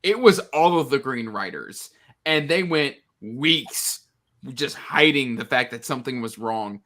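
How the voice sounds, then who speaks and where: Bright-voiced man venting about a book. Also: mid-range voice, animated, a little slow. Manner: stammering restarts, exasperated emphasis, repeats words for effect. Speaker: book discussion panelist. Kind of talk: casual book discussion on a live show.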